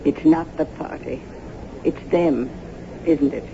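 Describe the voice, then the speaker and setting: An actor playing a watchful household retainer in a radio drama, speaking in a deliberate, probing way. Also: male voice, fairly high pitch, fairly even intonation, noisy background. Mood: cautious, probing. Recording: muffled old radio broadcast.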